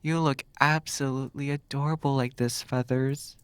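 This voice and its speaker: A man voices a smug demon captor in an ASMR roleplay. He is flirty and teasing, musing with pauses at a middling pitch. The sound is dry and close to the mic. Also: fairly even intonation, clear, resonant tone, dry recording, close to an everyday voice.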